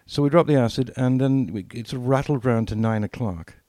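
A man's voice: medium pitch, fairly quick, warm tone, no room sound, clean studio sound. An older man telling anecdotes casually as a podcast co-host, acting out bits of dialogue as he reminisces.

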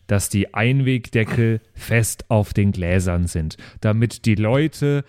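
A man's voice: lowish voice, slow pace, darkish tone, clear, resonant tone, dry recording, studio quality. Man playing a rambling, proud retired grandpa. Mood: earnest pride. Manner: earnest, rambling, self-satisfied. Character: chatty, proud retired German grandfather and handyman. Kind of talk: in-character improvised role-play podcast.

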